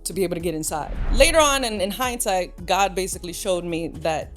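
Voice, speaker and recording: A woman's voice: lowish voice, lively intonation, a little fast, fairly bright tone, faint music behind. A woman from Brooklyn, New York, a podcast guest sharing her personal testimony in an earnest, reflective way.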